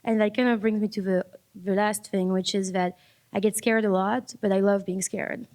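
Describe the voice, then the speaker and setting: A woman's voice: medium pitch, fairly even intonation, normal speed, a little mellow. A woman from France, speaking reflectively on a public panel and often repeating words as she looks for the next one. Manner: reflective, repeats words while finding them. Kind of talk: panel discussion.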